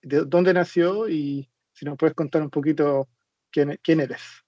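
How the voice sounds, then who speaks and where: A man's voice: a little high, steady tone, takes it slowly, mellow, dry recording, highs cut off. A man hosting a casual podcast interview in a polite, informal way. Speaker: podcast host.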